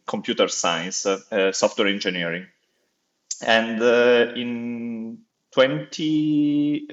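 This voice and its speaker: Podcast guest: Italian-accented man, low-ish voice, halting second-language English, dull lo-fi audio. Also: fairly bright tone. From Italy. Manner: hesitant, many filler sounds and restarts, laughs easily. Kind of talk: interview conversation.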